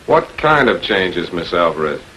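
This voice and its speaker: An actor playing a cool, authoritative public prosecutor in a 1940s American TV crime drama, measured, probing and businesslike. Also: male voice, low-pitched, big pitch swings, fairly bright tone. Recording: dull, noisy 1940s film soundtrack.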